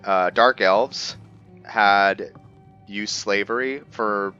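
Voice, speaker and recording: A man giving casual gameplay commentary, thinking aloud with halting, hesitant pauses. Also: lowish voice, bright, forceful tone, clear, resonant tone, lo-fi sound, soft background music. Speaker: gamer host of a roleplay series.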